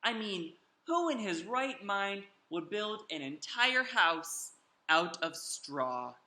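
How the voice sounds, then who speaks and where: A sly storybook wolf, acted out by a man, pleading his own case to children. The delivery is slow and emphatic, with drawn-out words and big swoops in pitch, as if letting listeners in on a secret. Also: sing-song, pushed and bright, reverberant, exaggerated voice. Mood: mocking. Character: sly, self-justifying male wolf telling his side. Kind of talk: animated children's storybook read-aloud.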